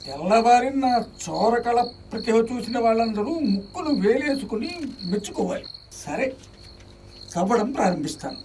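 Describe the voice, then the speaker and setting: A man's voice: very high-pitched, lively intonation, normal speed. A man playing a boastful night thief, proud of his art, in a radio drama monologue. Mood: gloating stealth. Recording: distant, noisy radio drama with night insects.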